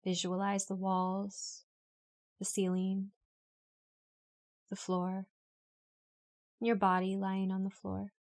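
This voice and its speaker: A woman reading a guided yoga nidra meditation in a calm, unhurried, instructional way. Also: medium pitch. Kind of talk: guided meditation, read from a script.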